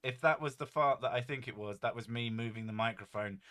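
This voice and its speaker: A man with a deep, boomy voice chats casually on a home stream, joking and rambling with the odd curse. His tone is bright and forceful, and his microphone sits well above his head, so he sounds a little set back from it. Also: a little fast. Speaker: streamer host. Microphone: desk mic set well above his head.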